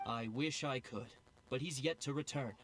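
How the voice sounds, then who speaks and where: A man voicing a polite, formal government official in a game cutscene, measured and courteous. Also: medium pitch, very noisy, natural voice.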